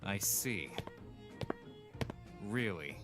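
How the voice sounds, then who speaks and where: A man's voice: fairly low pitch, lively intonation, drawn-out words, music in the background. A man acting a haughty, imperious ancient king in a comic dub, pompous and condescending in his delivery. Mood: haughty, skeptical.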